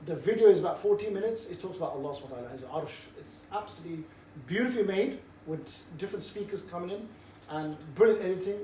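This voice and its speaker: A middle-aged man, giving a relaxed, jovial briefing to a group and mixing in cheeky banter. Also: high-pitched, fast talker. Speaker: street preaching trainer. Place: indoor room with a group. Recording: roomy echo, distant mic, faint background noise.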